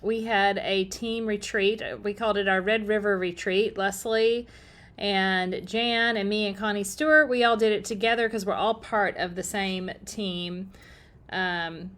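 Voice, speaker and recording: Chatty woman, a bit hoarse. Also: mid-range voice, fairly even intonation, unhurried, a bit bright. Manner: chatty, upbeat, greets viewers by name. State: slightly hoarse. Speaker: paper-crafting demonstrator. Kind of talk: casual live-stream demo.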